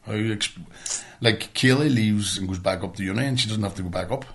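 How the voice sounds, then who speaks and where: A man's voice: on the low side, fairly quick, a bit bright. A middle-aged man with a Northern Irish accent co-hosting a podcast in casual, blunt, sweary chat. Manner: sweary, blunt, ranting about everyday life. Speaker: podcast co-host.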